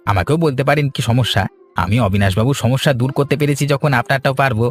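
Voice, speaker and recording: A man's voice: mid-range voice, quick pace, close to an everyday voice. Man acting sly, glib village swindler. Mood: persuasive. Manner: glib, persuasive, scheming. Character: sly, smooth-talking village swindler, greedy male trader. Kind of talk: cartoon fairy-tale dialogue. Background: background music.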